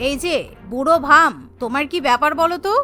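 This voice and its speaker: A woman voicing a suspicious, sharp-tongued old wife in a cartoon, nagging and sarcastic. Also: high voice, fast, pitched below the performer's own voice. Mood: accusing. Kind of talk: cartoon voice acting.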